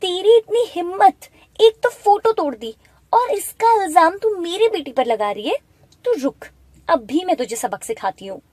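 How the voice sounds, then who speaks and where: Woman voicing a high-pitched scolding, bossy aunt. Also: expressive, normal speed, darkish tone, dry recording. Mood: angry scolding. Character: harsh, scolding aunt, spiteful to her orphaned niece. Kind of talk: animated Hindi moral story.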